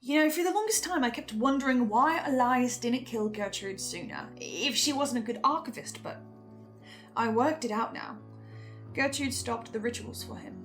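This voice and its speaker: A woman with a fairly high voice gives a reflective, earnest recap. She muses in short, halting phrases, with faint music underneath. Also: animated. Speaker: fan commentator playing a researcher.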